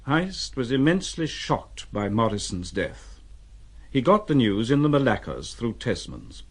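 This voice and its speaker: Husky, animated male storyteller. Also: medium pitch, unhurried. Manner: theatrical, wry storyteller. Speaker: narrator. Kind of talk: dramatised literary narration.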